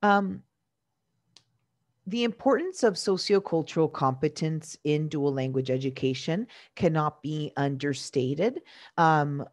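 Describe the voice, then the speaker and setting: A woman in her forties, a teacher, giving a conference presentation, born in Venezuela with Italian as her first language. She speaks earnestly and carefully, often pausing to find her words. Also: sits low, darkish tone, no room sound, clean studio sound.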